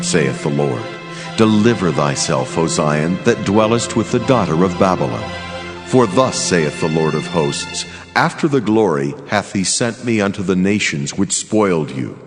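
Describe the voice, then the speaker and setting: Angel messenger for scripture drama: low male voice, grave, commanding, declamatory, prominent music underneath. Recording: loud music bed behind voice.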